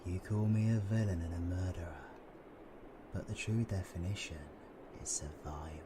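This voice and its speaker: A British man playing a cynical, sardonic supervillain with dark powers in an audio roleplay, sweary, mocking and brooding. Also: low-pitched, moderate pace, heavy background noise, close to an everyday voice. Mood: brooding, menacing.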